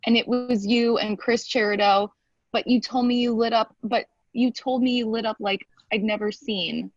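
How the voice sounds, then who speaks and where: A woman playing a hurt, blunt lawyer speaking to an ex in a naturalistic screenplay table read, halting and emotional. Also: fairly high pitch, steady tone, highs cut off. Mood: hurt, reproachful. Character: hurt, blunt ex-partner, a hard-working lawyer.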